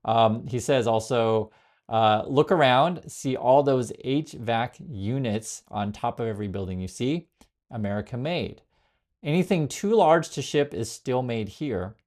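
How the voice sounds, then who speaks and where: A man's voice: lowish voice, darkish tone. A man born in Vietnam hosting a casual commentary channel, rambling with many fillers and reading viewer comments aloud. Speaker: political commentary host. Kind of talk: casual direct-to-camera commentary.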